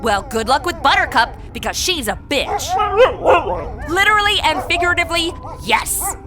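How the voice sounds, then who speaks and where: A very high, swooping voice for a sassy, scatterbrained young Mountie played by a woman. She is flippant and slangy in the manner of audio comedy, with music behind her. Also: expressive, normal speed. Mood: exasperated. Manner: flippant, exclamatory, modern slangy delivery. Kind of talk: comedy audio drama acting.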